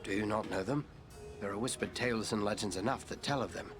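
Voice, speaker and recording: A man acts out a commanding ranger chieftain from a fantasy game. His low voice is solemn and measured, with guarded gravity, over clearly audible dramatic music. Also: lightly acted. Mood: low, grave. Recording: orchestral game music behind.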